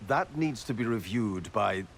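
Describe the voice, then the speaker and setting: A pedantic seneschal in a fantasy game. The voice is on the low side with lively pitch swings, dry, formal and put upon, over faint ambience. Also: male voice, unhurried, vocal fry, slight background noise. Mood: exasperated. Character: stuffy, exasperated male city seneschal, fussy about rules. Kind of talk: video game voice acting.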